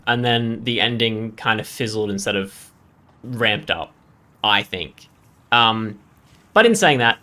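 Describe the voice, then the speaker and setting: A man's voice: lowish voice, pushed and bright. A man giving casual, off-the-cuff game commentary as a channel host, rambling as he thinks aloud and often checking in for agreement.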